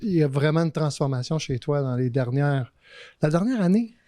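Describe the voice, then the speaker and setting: A man's voice: on the high side, lively intonation, moderate pace, warm tone, dry recording, studio quality. A middle-aged man with a Québécois French accent, co-hosting a podcast in a reflective, questioning way. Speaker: podcast co-host. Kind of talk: casual podcast conversation.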